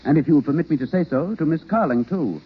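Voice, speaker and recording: A man's voice: on the high side, lively intonation, fast talker, slightly rough. A man playing a proud, earnest young actor and teacher, formal and polite in old radio drama style. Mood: polite, reserved. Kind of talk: 1940s radio drama acting. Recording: muffled vintage radio broadcast.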